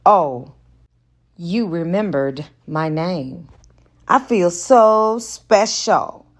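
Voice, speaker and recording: A woman's voice: lowish voice, expressive, very slow. Flirty romance heroine played by a female reader, coy and playful, taking her time. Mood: shy, flattered.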